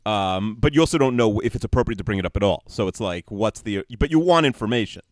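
A man co-hosting a podcast, thinking out loud in a rambling, hesitant way with many restarts. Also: lowish voice, lively intonation, fast talker, dry recording, clean studio sound. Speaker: podcast co-host. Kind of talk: casual podcast chat.

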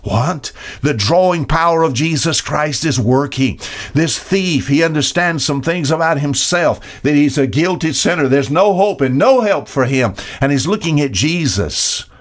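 A man preaching an expository sermon, emphatic, with dramatic pauses and rhetorical questions. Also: on the high side, lively intonation. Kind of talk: expository sermon, reading and explaining Bible verses.

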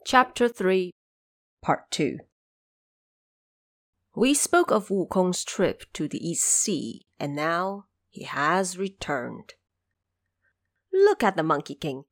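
A woman narrator telling a scripted fantasy tale in a theatrical audio drama style, with deliberate dramatic emphasis. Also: lowish voice.

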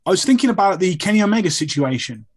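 A man's voice: sits high, big pitch swings, quick pace, husky. A man calling into a podcast, nasal and stuffed up from a sinus infection, rambling easily through his thoughts. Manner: easygoing, thinks aloud in rambling sentences. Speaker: caller. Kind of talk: casual chat.

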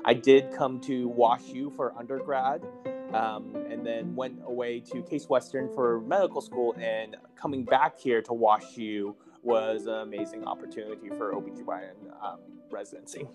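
A man's voice: mid-range voice, fairly even intonation, normal speed, music in the background. Easygoing young man telling stories. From China. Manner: easygoing, laughs while telling stories, many fillers. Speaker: chief resident guest. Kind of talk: casual podcast interview.

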